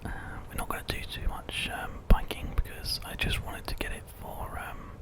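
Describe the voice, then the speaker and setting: A British man whispering breathily, very close to the mic, in a gentle, unhurried ASMR game commentary. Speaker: ASMR host and gamer. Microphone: very close microphone.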